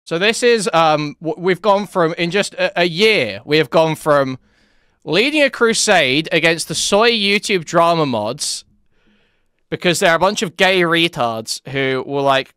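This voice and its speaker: A man's voice: on the high side, expressive, unhurried, pushed and bright, clear, resonant tone, no room sound, clean studio sound. A young man doing online drama commentary, mocking and crude, chuckling at his own jabs. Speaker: commentary YouTuber.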